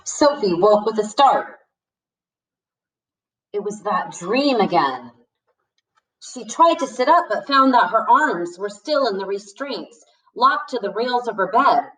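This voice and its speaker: A woman's voice: mid-range voice, lively intonation, takes it slowly, pauses often, a bit bright. A woman reading a novel aloud expressively as a storyteller. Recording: echoey room, distant from the mic.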